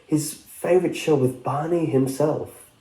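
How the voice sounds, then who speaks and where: A man's voice: fairly high pitch, steady tone, unhurried, warm tone, roomy sound, low-quality recording. A man reading a scripted first-person story as a narrator. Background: background music.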